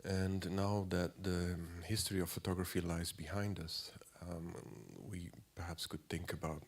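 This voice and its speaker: A man in his forties with a cold, giving a halting lecture as an artist, clearing his throat often and filling pauses. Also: sits low, flat delivery. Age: 40s. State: has a cold.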